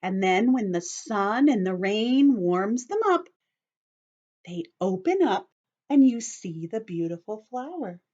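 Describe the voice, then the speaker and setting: A woman teacher reading aloud to young children and explaining as she goes, with expressive, simple phrasing, in a slightly dull recording. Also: medium pitch, slow.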